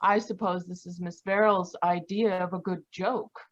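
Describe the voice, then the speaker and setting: A woman's voice: fairly low pitch, fairly even intonation, normal speed, slight background noise, close to an everyday voice. A woman acting an indignant, affronted young woman in an old-time Yukon radio drama, over dull video-call sound. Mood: icy, affronted. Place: home, live online video performance.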